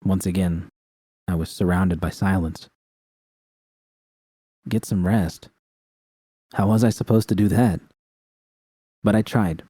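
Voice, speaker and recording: A man's voice: sits low, fairly even intonation, takes it slowly, long pauses, warm tone, husky, no room sound. A man narrating a first-person fan story aloud in an earnest, storytelling manner. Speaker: reader.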